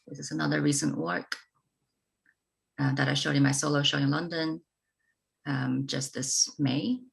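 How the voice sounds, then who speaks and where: A woman from China, an artist guest speaking in a thoughtful panel conversation with many pauses and ums. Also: deep voice, steady tone, vocal fry, low-quality recording.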